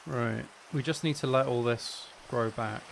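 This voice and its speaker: A man streams a game and talks off the cuff in a rambling, self-deprecating way. His voice sits at a middling pitch, with a noticeable wash of rain and running water behind it. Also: unhurried, creaky, slight background noise. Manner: mildly sweary. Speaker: game streamer and audio creator. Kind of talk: casual live gaming stream chat.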